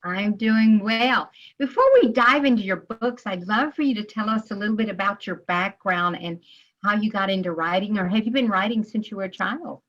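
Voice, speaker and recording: A woman's voice: mid-range voice, moderate pace, a bit bright. Woman host of an author podcast, friendly rambling questions, kinda muffled lo-fi audio. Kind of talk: interview hosting. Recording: dull lo-fi sound.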